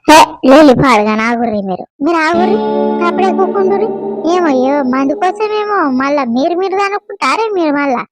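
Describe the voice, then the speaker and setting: A very high, almost childlike voice of a scolding cartoon village gossip , played by a woman. She speaks with a Telangana accent in an earthy, exclamatory way, over faint music. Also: expressive, a little fast.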